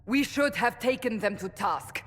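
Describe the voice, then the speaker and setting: A woman's voice: fairly high pitch, expressive, unhurried, slightly rough, big-room sound. A woman playing a stern, suspicious warrior in a video game, blunt and wary. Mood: indignant. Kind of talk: video game cutscene acting.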